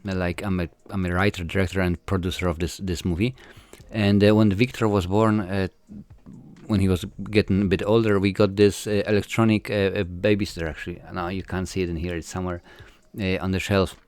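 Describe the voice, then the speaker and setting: Polish filmmaker, 40s, low and smooth, halting answers full of fillers. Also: male voice, little pitch movement, darkish tone, no echo. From Poland. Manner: hesitant, many fillers and restarts. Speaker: filmmaker guest. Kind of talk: casual interview answers.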